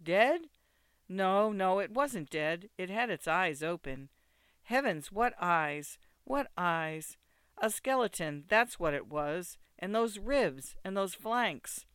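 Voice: mid-range voice, animated, slow pace, a bit bright, clean tone, dry recording. A narrator voicing a distraught, headstrong young wife in a storybook, exclaiming, pleading and reproaching. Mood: horrified pity.

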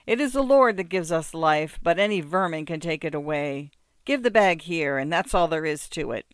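A bellowing drunken peasant, bossy and rambling, pitched a bit high and pushed loud, as a male narrator puts him on. The voice sounds smooth and close in a dry space. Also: animated, moderate pace, no room sound. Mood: ferocious. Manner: blustering, bossy, slurred shouting. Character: drunken boastful peasant man, gruff and rambling.

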